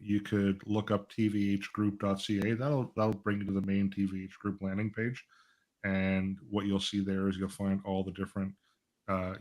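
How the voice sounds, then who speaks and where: A man's voice: fairly low pitch, darkish tone. A man talking conversationally as a financial advisor on a panel, explaining things through little imagined dialogues and check-ins. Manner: explanatory, uses acted-out client dialogue and rhetorical check-ins. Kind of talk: conversational live panel talk.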